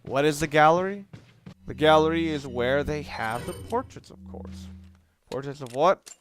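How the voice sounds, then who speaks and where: A man's voice: on the high side, moderate pace. A man giving casual, rambling walkthrough commentary on a video game, correcting himself and explaining tactics as he plays. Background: video game music and sound.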